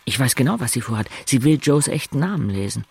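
A man's voice: mid-range voice, expressive, quick pace, vocal fry, breathy edge. A man acting as a brooding, wry teenage boy who narrates his inner thoughts tersely in a radio drama. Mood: wry, confiding. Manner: introspective, terse. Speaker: first-person narrator.

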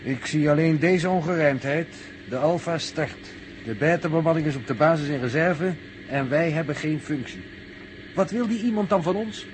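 A man's voice: fairly high pitch, normal speed, lightly acted. An actor playing a logical, sceptical crewman in a 1960s Dutch radio drama, speaking in a methodical, precise way. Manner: methodical, enumerating, pedantically precise. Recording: faintly noisy 1960s radio recording.

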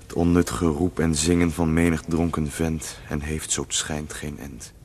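An actor playing a lonely lovesick young poet in a radio play, speaking rhymed verse in a measured, mournful way. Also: male voice, extremely low pitch, flat delivery, darkish tone, slight background noise. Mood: solemn. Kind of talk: 1970s radio drama verse recitation.

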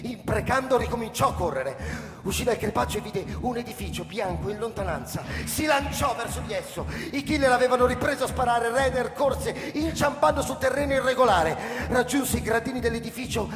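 A man narrating a scripted story in a theatrical, suspenseful and strongly expressive way. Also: very high-pitched, brisk, few pauses, music in the background. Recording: echoey hall reverb, crowd noise behind.

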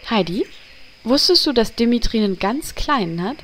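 A woman's voice: on the high side, animated, normal speed, fairly bright tone, a little hiss or hum. Catty heroine with swooping voice acted by a woman. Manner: snippy, exaggerated. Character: catty, vain, easily jealous young heroine. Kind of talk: audio drama parody acting.

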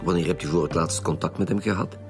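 A man acting as a gruff, no-nonsense Flemish police commissioner in a radio drama. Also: deep voice, steady tone, quick pace, faint music behind. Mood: stern, probing. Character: gruff, no-nonsense male police commissioner leading murder inquiry. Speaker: actor in a radio serial.

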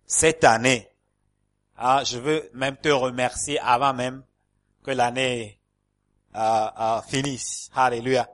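A male pastor preaches in a church hall, with a voice of ordinary middle pitch for a man. He speaks French as a second language and stumbles through fillers and repeated words. Calls of alleluia punctuate nearly every phrase as he rallies his congregation. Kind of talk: sermon.

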